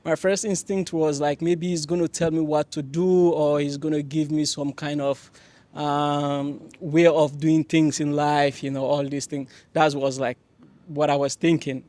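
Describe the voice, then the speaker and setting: Soft-spoken young man from Mali. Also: on the high side, little pitch movement, unhurried, no room sound. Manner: hesitant, many fillers and restarts, warm laughs. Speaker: panelist. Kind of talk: panel discussion, personal account.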